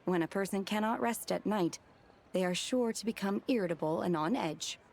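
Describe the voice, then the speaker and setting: Woman as reserved, mid-pitched young disciple. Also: moderate pace, vocal fry, darkish tone, slight background noise, natural voice. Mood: understanding. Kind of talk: video game character acting. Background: game music.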